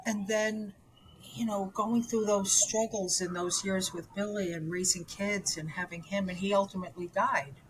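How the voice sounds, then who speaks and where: A woman's voice: medium pitch, fairly even intonation, normal speed, slight background noise, poor audio. A woman in her seventies, from New York, telling her own story as an interview guest in a candid, rambling way with lots of fillers and restarts. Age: 70s.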